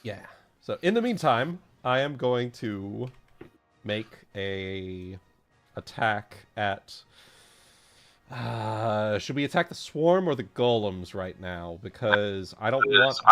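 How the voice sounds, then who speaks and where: Low-pitched, unhurried man at game table. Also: lively intonation, pushed and bright, faint music behind. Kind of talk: casual chat during a tabletop game.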